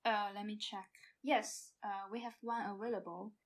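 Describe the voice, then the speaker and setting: A woman improvising as a podcast guest, with halting, non-native phrasing. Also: mid-range voice, steady tone. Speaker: podcast guest, amateur theatre performer. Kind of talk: improvised role-play and mock product pitching.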